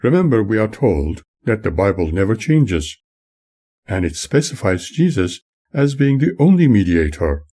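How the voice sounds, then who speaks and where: A man with a low, mellow voice reads a memoir aloud as its author. His delivery is formal and deliberate, with lively swings in pitch for emphasis, and the tone has a slightly husky edge. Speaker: author reading own memoir. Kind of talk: scripted audiobook narration.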